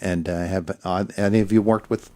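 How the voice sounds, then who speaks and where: A man with a very low voice hosts a morning radio program from the studio. He chats casually with guests, and his questions come out halting and full of "uh" and "um.". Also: fairly even intonation, mellow. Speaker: radio morning show host. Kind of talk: casual interview.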